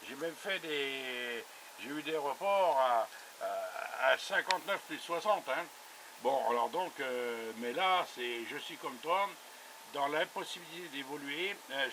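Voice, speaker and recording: A man talking casually as a radio operator over a two-way radio, chatty and full of little verbal tags. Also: mid-range voice. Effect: two-way radio transmission. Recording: noisy buzzing radio transmission.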